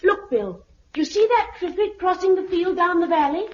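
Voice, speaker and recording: A woman's voice: very high voice, animated, moderate pace. A woman playing an earnest, watchful eleven-year-old English farm girl in a 1950s British radio drama. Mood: insistent. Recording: muffled 1950s radio recording.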